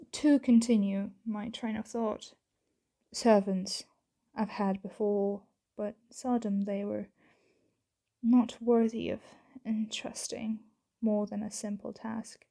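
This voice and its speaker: A woman voicing a composed, patient vampire owner in an ASMR roleplay, speaking softly and half-whispering with measured, formal, deliberate phrasing. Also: mid-range voice. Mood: disdainful, reflective. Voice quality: soft, breathy, half-whispered with creaky edges. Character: composed, patient female vampire owner, commanding yet gentle. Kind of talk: ASMR roleplay, scripted monologue to the listener.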